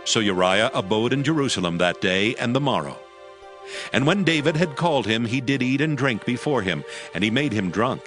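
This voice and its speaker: A man's voice: medium pitch, animated, brisk, a bit bright, soft background music. A man reading scripted narration for a dramatized Bible audiobook.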